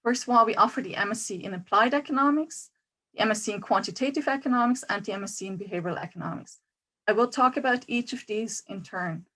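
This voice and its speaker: Woman presenting in a clear, orderly way. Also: mid-range voice, quick pace, fairly bright tone, poor audio. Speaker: programme coordinator. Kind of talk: information talk.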